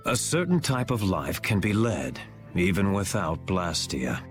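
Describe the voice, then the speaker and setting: Cryptic town sage, weary and superior, deep yet expressive, formal and deliberate game delivery. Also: male voice, faint music behind. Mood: lecturing, superior. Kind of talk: anime-style game voice acting.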